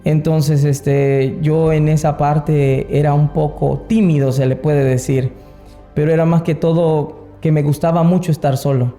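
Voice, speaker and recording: A man's voice: on the high side, level tone, unhurried, warm tone, soft background music. A man with a Salvadoran Spanish accent, an interview guest casually telling his personal story. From San Salvador, El Salvador. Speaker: interview guest, worship singer.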